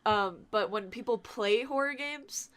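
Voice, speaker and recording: A talkative woman speaking casually as a guest on a podcast, quick to laugh and explaining at length. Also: on the high side, fairly bright tone. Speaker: podcast guest from a fan dubbing group.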